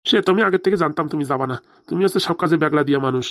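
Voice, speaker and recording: A man doing a rapid-fire older village father, cartoon. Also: fairly high pitch, a bit bright, dry recording, clean studio sound. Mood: easygoing, bantering. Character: older village father, easygoing, eager to marry off daughter. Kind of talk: fast comic cartoon dialogue.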